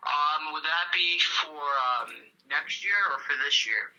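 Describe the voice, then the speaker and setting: A man's voice: a little high, measured pace, sharp tone. A young man talking casually over a telephone, polite and a little hesitant. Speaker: prank call target. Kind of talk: casual phone conversation. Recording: voice over a phone call.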